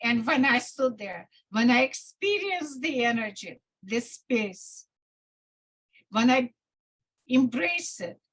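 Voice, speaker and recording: A bright, slightly high Russian-accented woman speaks slowly and thoughtfully as an acting teacher. She builds her thoughts in short, searching fragments. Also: expressive, slightly rough. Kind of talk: conversational discussion.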